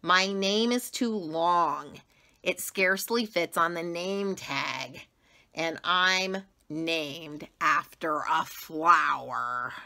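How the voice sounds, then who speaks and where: A sad, sensitive little mouse girl in a picture book, put on by a woman reading aloud. She mopes along very slowly, dragging out her words in a miserable way. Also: on the low side, pushed and bright, exaggerated voice. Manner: slow, drawn-out, mopey.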